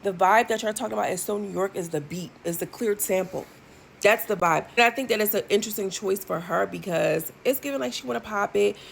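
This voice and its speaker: A woman's voice: medium pitch, brisk, creaky, a little hiss or hum. A woman in her thirties giving blunt, sassy music commentary for her video channel, with lots of ums and asides. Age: 30s. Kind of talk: casual opinion commentary.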